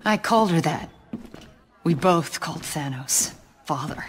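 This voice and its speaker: Haunted game assassin, subdued and husky, dry wit. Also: female voice, low-pitched, lively intonation, measured pace, full character voice. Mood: troubled. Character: brooding green-skinned female warrior assassin. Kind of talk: video game acting.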